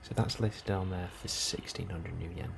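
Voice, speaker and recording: A man with a very low, creaky voice speaks softly in an ASMR role-play as a colony clerk. His delivery is hushed and unhurried, at times slipping toward a whisper. Steady ambient noise is clearly audible behind him. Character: calm, helpful male colony immigration officer.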